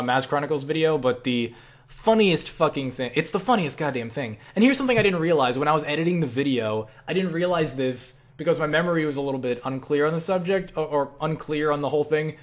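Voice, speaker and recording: A young man with a fairly high voice talks off the cuff, as if answering questions for an online video. He rambles and thinks out loud, giving blunt opinions peppered with swearing. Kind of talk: casual rambling Q&A chat about video games.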